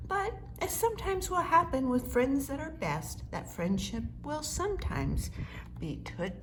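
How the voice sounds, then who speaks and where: A woman reading a rhyming children's story aloud with measured, expressive storytelling. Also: high voice, noisy background. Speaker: storybook reader.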